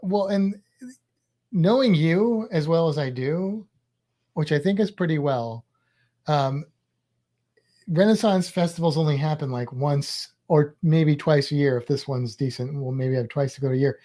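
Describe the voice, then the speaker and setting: A man's voice: a little high, unhurried, dark tone. A man co-hosting a casual cruise podcast, joking around and making puns. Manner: jokey, playful with puns. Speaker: podcast co-host. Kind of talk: casual chat.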